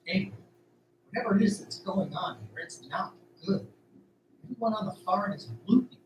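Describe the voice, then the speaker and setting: A man's voice: high voice, moderate pace, natural voice. A man playing an earnest, stubborn farm worker in an audio drama. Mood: urgent, determined. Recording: roomy, distant, noisy amateur recording.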